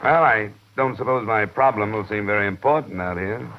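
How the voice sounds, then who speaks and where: An actor playing a tough, world-weary American insurance investigator in a vintage radio drama, clipped and matter-of-fact. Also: male voice, fairly low pitch, brisk, slight background noise, lightly acted. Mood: businesslike. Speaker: lead actor in a radio detective series. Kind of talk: 1950s radio drama acting, first-person narration and dialogue. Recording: muffled vintage radio recording with music.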